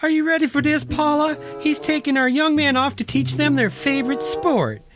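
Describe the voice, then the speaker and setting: A playful young camp counselor in a radio play, acted by a woman, with a high voice. She is mischievous and likes to tease. Faint music runs behind her. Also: moderate pace, lightly acted. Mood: teasing. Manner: mischievous, teasing. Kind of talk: amateur radio play reading.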